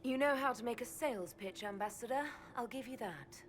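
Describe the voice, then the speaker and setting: A woman's voice: on the high side, a little fast, in a large hall, natural voice. Woman playing a cool, wry elven inquisitor. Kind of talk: dramatic game dialogue.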